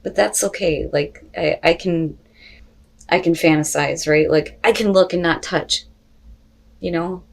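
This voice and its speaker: Woman, lowish pitch, tarot reader, casual unscripted delivery, conversational, expressive, salty language. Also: unhurried, creaky. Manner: conversational and expressive, with frequent swearing and rhetorical questions. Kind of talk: casual, unscripted tarot reading addressed to viewers.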